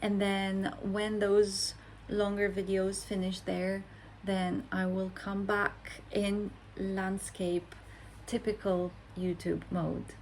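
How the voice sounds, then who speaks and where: A Romanian woman in her forties, a content creator talking slowly and thoughtfully to her viewers, with many pauses and fillers. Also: mid-range voice, level tone, slight background noise, poor audio. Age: 40s. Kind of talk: reflective casual monologue to camera.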